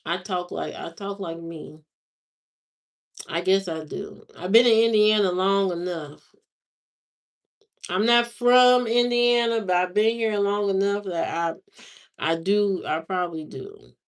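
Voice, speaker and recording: A woman hosting a livestream, chatting casually with viewers in a slow, drawling, musing way. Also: mid-range voice. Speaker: livestream host. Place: lives in Indiana, not from there.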